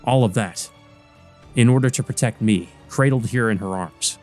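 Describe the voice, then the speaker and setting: A man with a mid-pitched voice narrates a visual novel as a let's play. He moves between reading the text and casual commentary, with game music behind him. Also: moderate pace, darkish tone.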